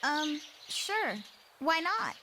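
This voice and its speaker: A woman's voice: high voice, big pitch swings, drawn-out words, noisy background, exaggerated voice. A woman voicing a sarcastic, easily panicked teenage mutant girl in a motion comic, with a whiny, exasperated manner. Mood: sheepish. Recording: loud music under the voice.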